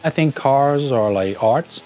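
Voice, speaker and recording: A man's voice: mid-range voice, lively intonation, slow pace, a little mellow, muffled recording. A middle-aged man from Hong Kong with a Hong Kong accent, telling his story reflectively as a car enthusiast in a documentary interview. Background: background music.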